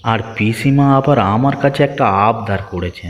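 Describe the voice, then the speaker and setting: A man's voice: medium pitch, moderate pace, highs cut off, natural voice. A man playing a dutiful, good-natured village family man in a moral-story cartoon, earnest and familiar in manner. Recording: reverberant hall effect, music behind.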